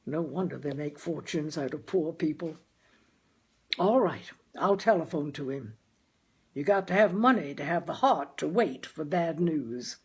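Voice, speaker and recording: A woman voicing a gloomy, plainspoken working-class father in a storybook, with folksy grammar and a deliberate, emphatic delivery. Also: deep voice, lively intonation, husky, heavily acted. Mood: grumbling. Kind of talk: dramatized audiobook character.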